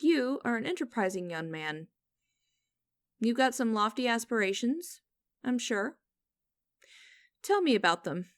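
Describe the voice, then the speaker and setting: Woman acting a stern, high-voiced lady boss. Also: big pitch swings, no room sound, studio quality. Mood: businesslike. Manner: condescending, formal, deliberate, teasing. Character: stern, mocking, vengeful young female company boss interviewing. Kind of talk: scripted ASMR-style audio roleplay.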